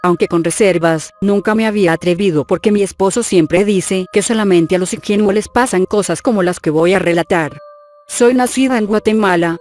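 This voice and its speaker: A narrator giving a steady, measured reading of a scripted horror story. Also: medium pitch. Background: faint music.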